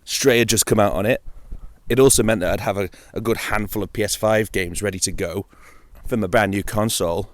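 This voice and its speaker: Low-voiced man chatting casually, playful asides. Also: fairly even intonation, brisk. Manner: informal, playful, with wry asides. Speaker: host of a gaming audio log. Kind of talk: casual solo chat about video games.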